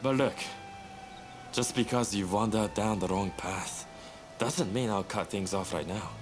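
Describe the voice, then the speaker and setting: Man acting a languid, low-voiced roguish rebel. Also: a little slow, music in the background, lightly acted. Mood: cool, wistful. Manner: languid, teasing, nonchalant. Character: roguish, laid-back young male revolutionary drinker and gambler. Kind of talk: video game cutscene acting.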